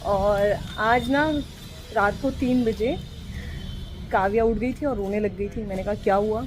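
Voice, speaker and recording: A woman's voice: mid-range voice, measured pace, over music, rough recording. A young woman talking to the camera in a casual vlog, telling a story earnestly with encouraging asides. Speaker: vlogger.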